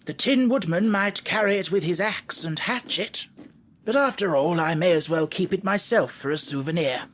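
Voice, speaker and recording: Storybook straw man, male reader: raised pitch, cheerful, musing, whimsical. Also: moderate pace, a bit bright, slight background noise. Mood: thoughtful. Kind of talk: audiobook character voice.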